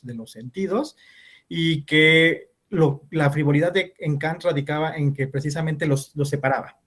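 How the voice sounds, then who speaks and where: Guy a bit high-pitched answering panel questions, lots of ehs and restarts. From Latin America. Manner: hesitant, many restarts and fillers. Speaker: conference speaker. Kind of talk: academic Q&A answer.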